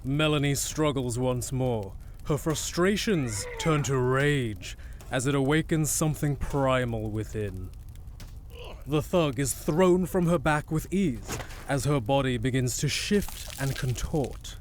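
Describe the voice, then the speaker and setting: A man's voice: mid-range voice, takes it slowly, a little hiss or hum, natural voice. A man reading dramatic scripted narration for an audio drama as its narrator.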